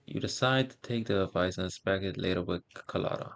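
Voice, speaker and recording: Guy doing a game walkthrough, deep crackly voice, stumbles reading stuff out, faint music behind. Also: gravelly. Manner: halting, stumbles while reading aloud. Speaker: gaming streamer. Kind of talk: casual game walkthrough, reading on-screen text aloud.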